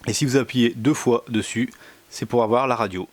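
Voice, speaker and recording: A man giving a casual product demonstration indoors, speaking in a hesitant, halting way with many pauses. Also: medium pitch, steady tone. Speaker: product reviewer.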